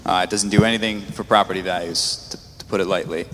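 A man speaking as a city councilman at a public meeting, halting with fillers and restarts. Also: low voice, steady tone. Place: council chamber. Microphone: meeting microphone. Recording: echoey chamber, faint noise.

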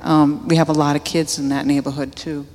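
A man's voice: a little high, flat delivery, fairly quick, a little mellow. A man, a local resident, speaking at a public hearing in a rambling, apologetic way. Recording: echoey chamber.

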